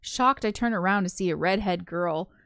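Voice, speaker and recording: A woman's voice: on the low side, normal speed, fairly bright tone, smooth, dry recording, clean studio sound. A woman reading a visual novel aloud, slipping into casual, chatty commentary with giggly asides. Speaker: co-host of a gaming show.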